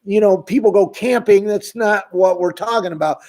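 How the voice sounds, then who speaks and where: A man's voice: high-pitched, moderate pace, a little mellow. A man who runs an advocacy channel, speaking straight to his viewers in an impassioned, ranting way. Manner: emphatic, ranting, addresses viewers directly. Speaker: homeless advocate, channel host. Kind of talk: impassioned direct-to-camera talk.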